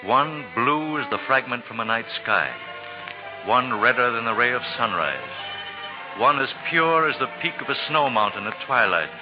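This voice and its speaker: A man's voice: medium pitch, animated, moderate pace. A man narrating a 1940s radio drama in a grand, poetic storytelling manner. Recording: dull vintage radio recording, loud music.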